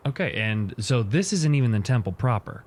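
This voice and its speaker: A man's voice: medium pitch, expressive, moderate pace, darkish tone, a little hiss or hum. A man playing a tabletop role-playing game, thinking aloud and checking details in casual chat that can slip into character.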